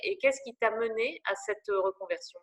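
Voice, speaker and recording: A woman's voice: fairly high pitch, expressive, normal speed, fairly bright tone. A woman interviewing a guest for a women's network, asking questions with many hesitations. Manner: hesitant, many fillers and restarts.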